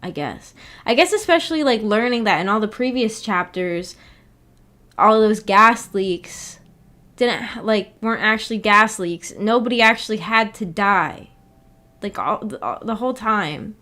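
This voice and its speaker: A woman's voice: mid-range voice, lively intonation, a little slow, pushed and bright. A woman hosting a livestream in a casual, rambling way, hesitant as she thinks aloud. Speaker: livestream host.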